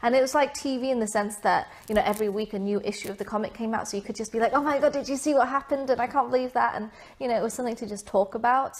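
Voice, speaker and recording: A woman's voice: a little high, very fast, hardly any pauses. Chatty young woman being interviewed. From Vietnam. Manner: chatty, many fillers and asides. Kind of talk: casual interview answers.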